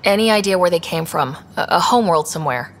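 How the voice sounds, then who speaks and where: A woman's voice: fairly low pitch, animated, fast talker. A woman voicing a young space explorer in a video game, earnest, direct and full of probing questions. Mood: curious, earnest. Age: young adult. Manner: direct, inquisitive. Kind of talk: video game dialogue acting.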